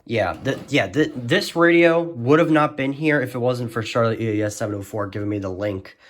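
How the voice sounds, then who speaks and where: A man's voice: medium pitch, fairly quick. A man giving a casual, unscripted show-and-tell as a video host, rambling hesitantly and often correcting himself. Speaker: host of a radio collection video. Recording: noisy home recording with clicks.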